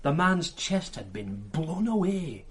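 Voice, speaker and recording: An actor playing an eager, matter-of-fact young police constable in a radio drama. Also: male voice, on the high side, big pitch swings, takes it slowly, slightly rough, heavily acted.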